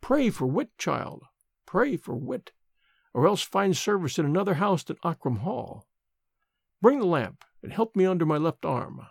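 A man reading a story voices a very old nursemaid with a thin, cracked, faraway voice, speaking slowly and severely. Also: high-pitched, very animated, vocal fry, no echo, clean studio sound, exaggerated voice. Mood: scolding. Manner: slow, quavering and severe. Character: ancient frail old nursemaid woman, stern and sharp.

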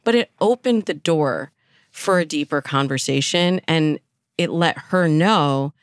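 A woman's voice: low-pitched, measured pace, smooth, dry recording, studio quality. A woman speaking as a dating coach and interview guest, explaining relationship advice conversationally with illustrative examples.

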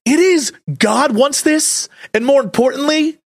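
A podcast co-host chatting casually, sarcastic and quick to mock old attitudes. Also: sits high, creaky. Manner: sarcastic, mocks historical attitudes in put-on voices.

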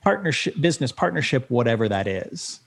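A male podcast host at a middle pitch asks questions and reacts eagerly. He affirms his guest often and doubles his words as he gets going. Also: big pitch swings, fairly quick, vocal fry. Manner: enthusiastic, affirming, repeats words. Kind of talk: interview conversation.

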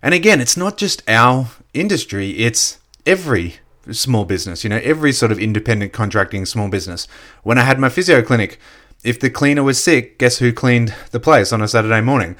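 A man with a slightly croaky voice giving a casual motivational business talk, full of "you know" fillers. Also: mid-range voice, animated, a little fast, fairly bright tone. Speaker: network marketing coach. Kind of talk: motivational solo podcast talk.

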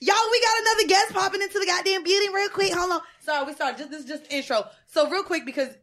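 A woman's voice: very high voice, fast talker, a bit bright. A woman co-hosting a podcast, brash and crude, swearing freely and laughing often. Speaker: podcast co-host. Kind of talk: loud crude banter.

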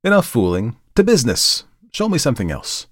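Man acting out an agitated, high-strung, desperate gentleman. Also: big pitch swings, a little fast, breathy edge, dry recording, clean studio sound. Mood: abrupt. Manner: intense, rhetorical, pleading and bitterly urgent. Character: agitated, brooding, desperate male customer with dark intent. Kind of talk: dramatic audiobook character acting.

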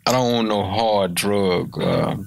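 Middle-aged male guest, very low and gravelly, easygoing chat. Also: takes it slowly, no echo. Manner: laid-back, repeats "you know what I mean". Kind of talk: casual chat.

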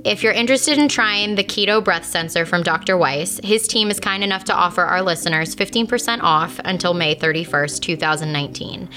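A woman's voice: on the low side, a little fast, few pauses, bright, forceful tone, soft background music. A woman reading a scripted sponsor message in an upbeat, friendly way. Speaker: ad reader.

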